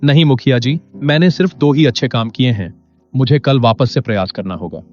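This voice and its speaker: An actor playing an honest, innocent poor farmer in an animated moral story, speaking simply and earnestly. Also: male voice, mid-range voice, brisk, close to an everyday voice. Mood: worried, dutiful. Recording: dull lo-fi audio. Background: music.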